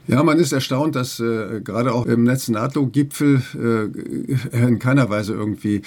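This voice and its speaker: A man interviewing a guest, speaking in a measured way with frequent fillers. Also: medium pitch, darkish tone, slightly rough. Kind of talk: radio interview.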